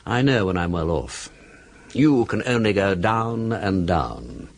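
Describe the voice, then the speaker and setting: A man playing a mysterious, teasing gentleman sleuth in a period radio drama, arch and sardonic, with an upper-class English accent and a husky, breathy voice. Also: deep voice, expressive, takes it slowly, heavily acted. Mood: disdainful.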